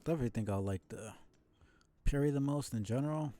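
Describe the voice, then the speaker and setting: A man doing casual, low-key live commentary as he plays a video game, muttering to himself. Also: quick pace, mellow, no echo.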